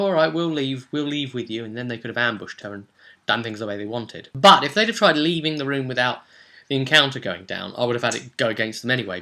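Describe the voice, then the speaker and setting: A man with a bright, forward voice at a middling pitch hosts a game recap. He tells the session as game master, in an animated and conversational storytelling manner.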